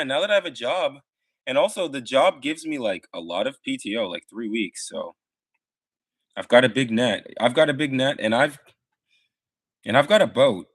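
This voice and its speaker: A man's voice: medium pitch, no room sound. A young man with a Midwestern American accent, chatting in a rambling, laid-back way with lots of fillers on a live stream. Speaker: livestreamer. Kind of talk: casual live stream chat to chat viewers.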